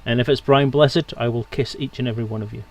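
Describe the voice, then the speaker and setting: A man's voice: mid-range voice, fairly even intonation, quick pace, slight background noise. A man hosting a livestream with jokey, playful, casual banter. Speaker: livestream host.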